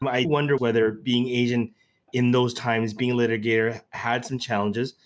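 A man's voice: mid-range voice, level tone, normal speed. Careful, hesitant male interviewer. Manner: careful, hesitant questioning with restarts. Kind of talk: interview questions.

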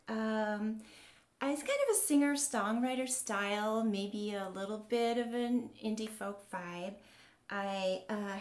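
Slow, hesitant American woman. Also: mid-range voice, a little mellow, roomy sound. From northern Wisconsin, USA. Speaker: musician. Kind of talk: casual self-introduction. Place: online event on video call.

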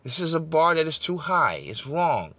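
A man's voice: a little high, sing-song, normal speed, pushed and bright, no room sound, dull audio. A man giving an emphatic political talk to his online audience, repeating his points and calling listeners "guys". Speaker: political speaker on a broadcast.

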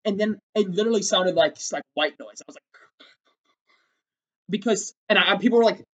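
Young man, eighteen, loud, rambling, self-interrupting, drawl, podcast co-host. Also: high-pitched, fairly quick, highs cut off. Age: young adult. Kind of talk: casual chat.

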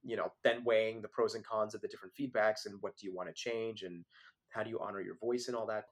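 A man's voice: on the low side, fairly even intonation, quick pace. A young adult man, a filmmaker guest, talking casually in an interview, full of fillers and asides. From Philadelphia area, born in Switzerland. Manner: conversational, many fillers like "like" and "you know".

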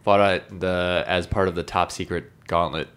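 A man's voice: low voice, flat delivery, normal speed, a bit bright, smooth. An American man co-hosting a podcast, joking through casual banter. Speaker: podcast co-host. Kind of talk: casual chat.

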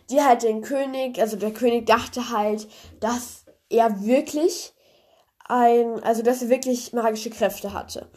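Young woman, stuffy nasal cold voice, fairly high, rambling podcast chat. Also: a little mellow. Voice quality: congested, nasal voice. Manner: chatty, rambling, many fillers. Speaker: podcast host. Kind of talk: casual retelling of a fairy tale.

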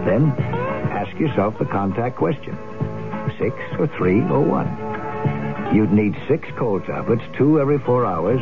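A man reading a scripted radio advertisement as announcer, with emphatic, clipped phrasing. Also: lowish voice. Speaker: commercial announcer. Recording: tinny, band-limited old radio recording. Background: music.

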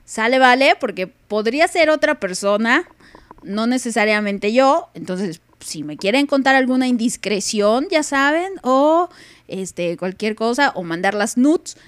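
A woman's voice: fairly high pitch, lively intonation, a little slow, clear, resonant tone, no room sound. A young woman with a Mexican accent hosting a casual live radio podcast, playful and teasing, laughing often. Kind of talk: casual chat on a live stream.

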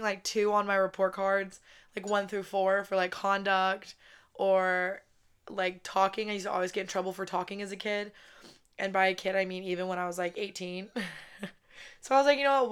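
A woman in her twenties hosting her own podcast, talking casually with a raspy voice after a weekend wedding, swearing often and saying "like" constantly. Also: mid-range voice, a bit bright. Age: 20s. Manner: chatty, swears a lot, says "like" constantly. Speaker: podcast host. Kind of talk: casual solo podcast chat. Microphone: podcast microphone, close.

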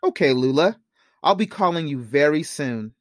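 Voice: mid-range voice, expressive, normal speed, no room sound, natural voice. Narrator playing an easygoing young man, close dry sound. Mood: cheerful. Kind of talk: audiobook character dialogue.

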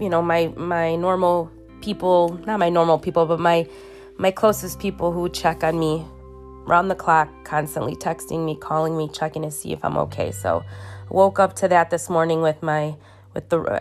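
A woman hosting her own podcast while sick, tired and congested, talking slowly in a halting way with many restarts and ums. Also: on the low side. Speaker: podcast host. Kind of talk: casual solo podcast monologue. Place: her bedroom at home.

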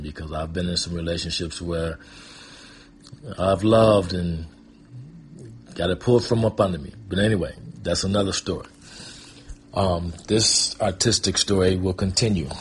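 Middle aged american guy, kinda low voice, slow talker, just telling stories from his life, reflective. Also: steady tone, slight background noise. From Michigan, USA. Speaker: guest storyteller and performing artist. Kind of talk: personal storytelling, casual reminiscing.

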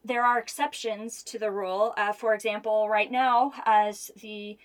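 A woman's voice: medium pitch, steady tone, unhurried. A woman with an American accent speaking as an interview guest, explaining things earnestly and emphatically in a conversational storytelling way.

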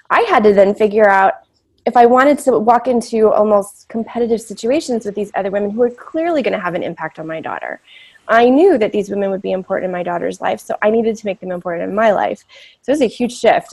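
A woman's voice: medium pitch, a little mellow. A woman with a big voice, giving candid, reflective interview answers drawn from her own experience. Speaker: interview guest.

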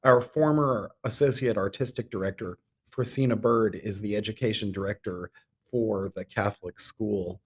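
A middle-aged man answering interview questions as a guest, measured and given to emphatic repetition. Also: on the low side, breathy edge. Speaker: interview guest, theater artistic director.

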